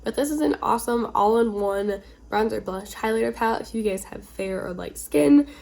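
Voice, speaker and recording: A woman's voice: a little high, normal speed, creaky, darkish tone. A woman getting over a cold, chatting informally with rambling asides as the host of a casual beauty vlog. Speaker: beauty video creator, host.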